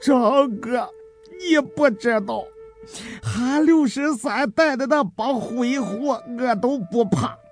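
Man playing a slow, whining gangster underling. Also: very high-pitched, faint music behind, full character voice. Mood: aggrieved, whining. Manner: tearful, pleading, deferential, drawn-out. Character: whining, beaten-up male underling begging his boss. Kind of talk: dramatised audiobook character acting.

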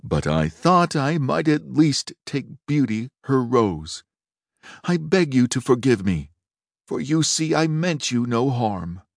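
A man's voice: big pitch swings, slow, vocal fry, no echo, clean studio sound, full character voice. A man reading a storybook aloud, voicing a frightened middle-aged merchant who pleads in a raised, anxious tone. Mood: pleading. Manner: high pleading tone.

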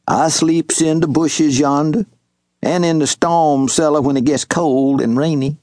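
A man voicing an elderly Southern servant, slow drawl. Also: fairly high pitch, steady tone, darkish tone, dry recording, studio quality, pitched above the performer's own voice, natural voice. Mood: earnest. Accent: Southern US rural dialect. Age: older. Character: elderly male farm servant, loyal and humble.

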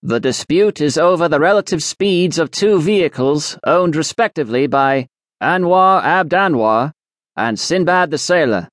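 A solemn, self-important alchemist sage, as a male narrator puts him on, pitched a little above the reader's own voice. He proclaims everything like a formal decree. The sound is dry and close, with no room around it. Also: no echo. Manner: stately, ceremonial proclamation. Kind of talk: audiobook character voice.

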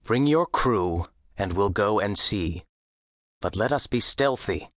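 An actor playing a bold, wary ancient Greek sea captain in an audiobook, with a commanding, declamatory manner. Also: male voice, fairly low pitch, animated, slow pace, dry recording, studio quality. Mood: grave, resolute. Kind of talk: full-cast audiobook acting.

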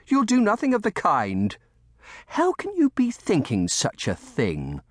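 Devoted young maid, read by a man, storybook style: high, swooping pitch, motherly fussing, humble and anxious. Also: huge pitch swings, unhurried, dry recording, studio quality, exaggerated voice. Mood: alarmed scolding. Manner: anxious, motherly scolding and soothing. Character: devoted, fussing young maidservant woman of humble cottage family.

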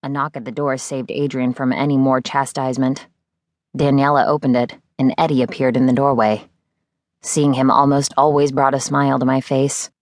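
A woman narrates a novel in the first person. Her voice sits low for a woman, and the sound is dry, with next to no room around it. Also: level tone, moderate pace, darkish tone, no echo. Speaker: audiobook narrator.

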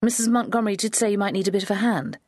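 A woman's voice: mid-range voice, rapid-fire, darkish tone, no echo, studio quality, voice pushed up, lightly acted. A woman voicing a polite, patient dog owner who raises her voice to be heard.

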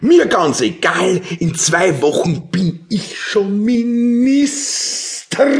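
An actor playing a smug, self-important Austrian police superior in a theatrical comic radio play. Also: male voice, sits high, expressive, extremely slow, gravelly, long pauses, exaggerated voice. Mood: gloating.

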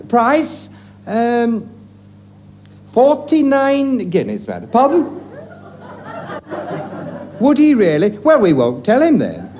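A man's voice: very high voice, huge pitch swings, takes it slowly, pauses often, full character voice. A man playing a fussy, falsely polite female dress shop assistant in a hesitant, deferential manner in a 1950s radio sketch. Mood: politely patronising. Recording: noisy vintage radio recording, audience.

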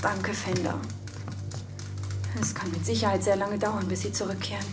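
An actress playing a young heroine in a radio drama, frank and a bit uneasy. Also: female voice, medium pitch, level tone, normal speed, music in the background, lightly acted. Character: young woman, brave but uneasy heroine. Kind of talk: German radio drama acting.

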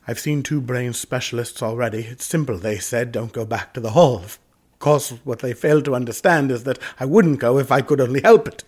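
A man acting a doomed, anguished gentleman in a radio drama, with husky voice and expressive old-fashioned upper-class phrasing. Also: mid-range voice, fairly quick, close to an everyday voice. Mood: desperate. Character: doomed gentleman haunted by a family suicide curse, anguished.

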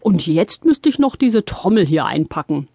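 A man's voice: sits high, big pitch swings, normal speed, creaky, mellow, no echo, exaggerated voice. A man playing a kindly, flustered old Santa Claus in a children's audio play, hesitant and puzzled, drawing out his exclamations. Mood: pleased, busy.

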